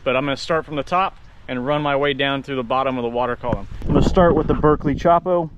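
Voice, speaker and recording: A man's voice: mid-range voice, fast talker, muffled recording. An American man in his thirties chatting casually to camera as a fishing vlogger, with laid-back, slangy bro talk and some swearing. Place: outdoors on a kayak on open water. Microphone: action camera close by. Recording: outdoor wind and boat noise.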